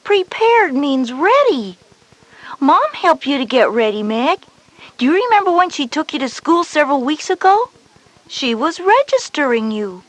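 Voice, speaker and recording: A woman plays a schoolgirl big sister in a children's educational tape. The voice is high and lively, explaining things patiently and reassuringly with plenty of rise and fall. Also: a little slow, no room sound. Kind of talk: scripted educational audio drama.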